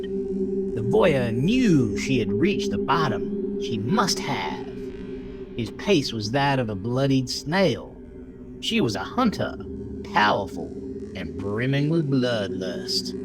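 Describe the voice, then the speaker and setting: A man narrates a horror tale with theatrical suspense, taking his time over each phrase. His pitch moves dramatically up and down, and music plays clearly underneath him. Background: prominent music bed.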